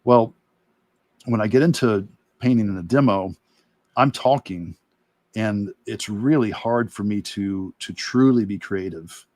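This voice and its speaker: A man giving a casual, reflective demo talk as an art instructor while drawing, with halting, rambling explanations. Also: on the low side, darkish tone, no room sound. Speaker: illustrator and course instructor demonstrating.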